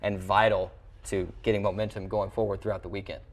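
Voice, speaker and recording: A man's voice: fairly low pitch, level tone, brisk. A man hosting a sports interview show in a television studio, with a wordy broadcaster's way of presenting.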